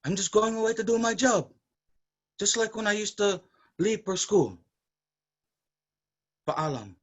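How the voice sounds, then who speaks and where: A man's voice: high voice, animated, unhurried. An actor playing a caring, responsible brother, an earnest man in a staged drama. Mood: tender, solemn. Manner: earnest, protective, scolding when angry. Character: Macario, caring, responsible, bookish Filipino brother. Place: online virtual staged reading.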